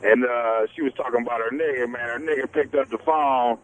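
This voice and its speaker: A man calling in as a truck driver, talking in a relaxed, slangy way. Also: mid-range voice, brisk, a crowd in the background. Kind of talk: casual phone call.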